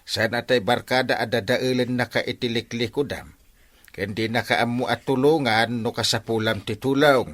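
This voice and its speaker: A man's voice: medium pitch, fairly even intonation, normal speed, fairly bright tone, a little hiss or hum, natural voice. An actor playing a grumbling, admonishing village man in a Filipino radio comedy drama, with an Ilocano accent.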